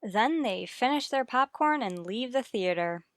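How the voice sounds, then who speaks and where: Sardonic lady teacher, old radio sitcom, bright crisp wisecracks, dry studio sound. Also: on the high side, expressive, unhurried, no echo. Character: wry, wisecracking woman English teacher. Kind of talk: 1950s radio sitcom acting. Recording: old radio broadcast recording.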